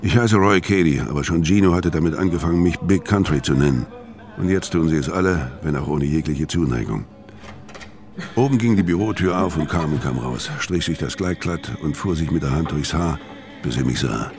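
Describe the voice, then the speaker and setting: A man's voice: a bass voice, normal speed, over music. An actor playing a bitter, world-weary hitman who narrates and speaks tersely in a noir radio play. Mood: bitter, laconic. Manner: terse, deadpan. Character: hard-boiled middle-aged hitman, bitter, violent, world-weary loner.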